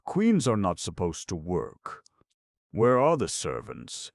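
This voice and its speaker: A man voicing a haughty patchwork rag-doll girl with a shrill, defiant way of talking. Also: animated, slow, dry recording, studio quality, heavily acted. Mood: indignant.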